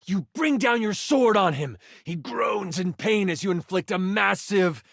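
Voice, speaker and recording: A man voicing an excitable, nerdy game master, narrating tabletop fantasy dramatically and dropping into casual, hesitant asides. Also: high-pitched, a little slow, creaky, no echo, studio quality, full character voice. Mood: dramatic. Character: living D20 die, excitable nerdy male game master.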